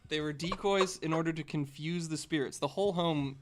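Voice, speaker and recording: A man's voice: fairly high pitch, a little fast, slight background noise. A man on a casual podcast panel, presenting researched facts in a conversational way and partly reading from notes.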